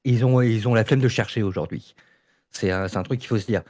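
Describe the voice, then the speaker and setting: A man in a casual debate, arguing his opinions haltingly and repeating words as he goes. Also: on the low side, a little mellow. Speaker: audio fiction debate participant.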